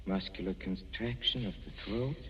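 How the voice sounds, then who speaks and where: An actor playing a coldly menacing, jealous poisoner husband who speaks with deliberate, mocking tenderness in 1940s radio suspense style. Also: male voice, fairly low pitch, close to an everyday voice. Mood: cold, gloating. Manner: deliberate, sardonic, mock-affectionate. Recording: muffled, hissy 1940s radio recording, reverberant.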